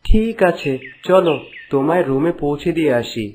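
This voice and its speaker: A man voicing a young, concerned prince with a gentle, solicitous manner in a fairy-tale cartoon. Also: on the high side, animated, moderate pace, natural voice.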